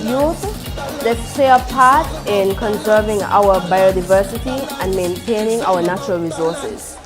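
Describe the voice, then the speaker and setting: A woman reads a scripted public service appeal slowly. Music plays clearly behind her. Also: mid-range voice, a crowd in the background.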